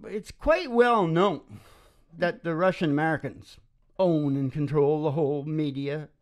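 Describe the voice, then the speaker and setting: A man's voice: fairly high pitch, a little slow. A middle-aged man chatting casually and opinionatedly as a musician hosting a live show, often checking in with "right?". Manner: opinionated, folksy, repeatedly asks "right?". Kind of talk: rambling casual chat between songs. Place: small dim room, live performance. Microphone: stand microphone close to mouth. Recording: acoustic guitar playing around the voice. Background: acoustic guitar music.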